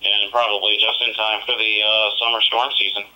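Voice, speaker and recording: A man's voice: lowish voice, little pitch movement, a little fast, bright, forceful tone, rough recording. A man chatting casually as an amateur operator over a digital two-way radio, heard from a handheld's speaker. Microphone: voice played through portable radio speaker.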